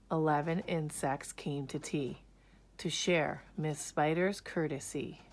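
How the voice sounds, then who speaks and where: A woman reads a children's picture book aloud at a very unhurried pace. Her voice sits a little low, and she tells the rhymed story in an expressive, measured way.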